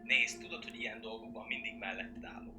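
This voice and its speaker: A man voicing a worried young friend in a fan dub, blunt and sweary, talking in urgent, hushed bursts. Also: fairly low pitch, fairly even intonation, over music, lightly acted. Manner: urgent, hushed, swings into loud agitation.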